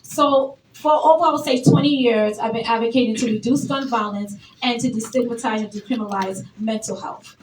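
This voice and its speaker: Woman in her 40s, public official at a podium, lively crowd-engaging speech, fairly high voice, roomy distant sound with background noise. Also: fairly quick, hardly any pauses. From Brooklyn, New York. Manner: lively, energetic, engaging the audience. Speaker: elected official. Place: event hall. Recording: roomy, distant, noisy event hall.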